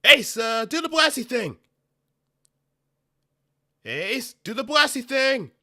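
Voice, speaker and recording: Fighting game hero, played by a man: high, bright, urgent battle shouts, explosive delivery. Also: big pitch swings, slow, no room sound, studio quality, heavily acted. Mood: battle fury. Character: shouting male fighting game hero firing off attack callouts. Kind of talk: improvised video game battle lines.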